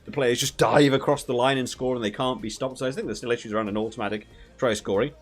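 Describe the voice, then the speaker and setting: A man's voice: mid-range voice, fairly even intonation, fast talker. A man hosting a video game review with casual, rambling, conversational commentary.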